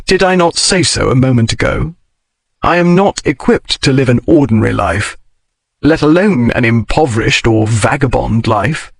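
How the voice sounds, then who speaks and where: A man voicing a wry, devoted aristocratic gentleman in an audiobook, with understated, formal speech. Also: medium pitch, animated, normal speed, husky, no room sound. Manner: dry, understated period speech. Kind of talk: audiobook character reading.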